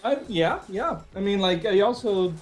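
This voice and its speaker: Higher-voiced man musing haltingly over music. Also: animated. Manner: halting, searching for words, often says like. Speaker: interviewee, musician. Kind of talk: casual, reflective interview chat.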